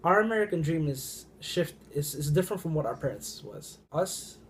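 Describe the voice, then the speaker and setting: A young man answering interview questions earnestly, with halting restarts. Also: fairly high pitch, expressive, slight background noise.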